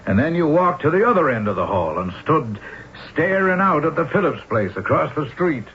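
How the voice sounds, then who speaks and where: A man playing a gruff, exasperated police inspector in a radio mystery, blunt and grumbling. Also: on the high side, very animated, moderate pace. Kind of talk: 1970s radio mystery acting. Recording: muffled old radio recording, faint hiss.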